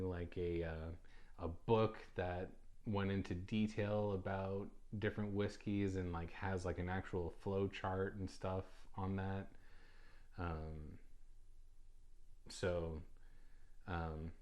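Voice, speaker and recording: A man's voice: deep voice, fairly even intonation, slow pace, long pauses. A young adult man hosting a casual solo podcast, talking loosely with many ums, uhs and restarts. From United States. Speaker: podcast host.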